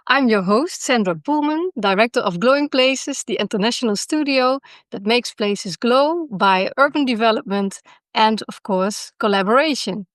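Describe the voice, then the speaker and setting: A woman's voice: a little high, lively intonation, normal speed, no echo. A woman hosting a podcast interview, friendly and encouraging, with many short agreements. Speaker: podcast host.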